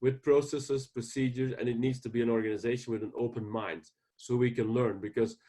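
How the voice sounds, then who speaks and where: A man with a Belgian accent speaks in an interview at a middle pitch. His talk is earnest and halting, full of fillers and restarts as he explains. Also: steady tone, dark tone. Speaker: guest ranch owner. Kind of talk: casual interview.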